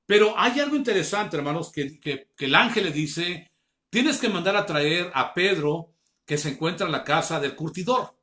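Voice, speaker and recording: A man, preaching an online Bible class, earnestly calling his listeners brothers and slowing down to read scripture. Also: fairly high pitch, expressive, pushed and bright. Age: 50s.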